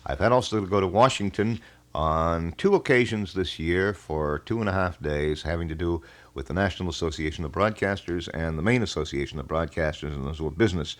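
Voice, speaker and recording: A middle-aged American man with a fairly low voice hosts a live radio call-in show. He talks in a folksy, wordy manner, phrasing things haltingly as he chats with listeners from the studio. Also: a bit bright. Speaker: radio talk show host. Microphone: studio broadcast microphone.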